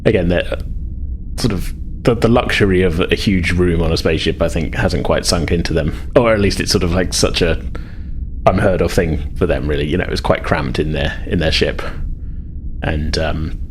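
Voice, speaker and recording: A man's voice: low voice, moderate pace, a little hiss or hum. A man narrating a tabletop role-playing game as game master, in a hesitant, improvised, conversational way.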